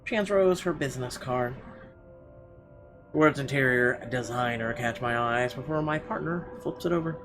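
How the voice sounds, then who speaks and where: Guy lets player reading visual novel text out loud, dramatic, trips on words sometimes, music behind. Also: mid-range voice, moderate pace. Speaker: let's player. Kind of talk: dramatic read-aloud of visual novel narration.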